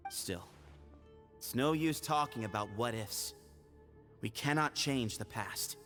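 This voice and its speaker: Cool, animated young heir. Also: male voice, mid-range voice, takes it slowly. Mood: cool, assured. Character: cool, pragmatic young male heir to a temple leader. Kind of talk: video game voice acting. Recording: game music behind.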